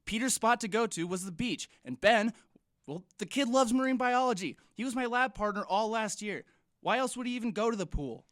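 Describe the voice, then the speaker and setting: A woman's voice: on the high side, lively intonation, brisk, fairly bright tone, dry recording, studio quality. A young woman playing an eager, dramatic teenage friend in an audio drama, earnest and insistent.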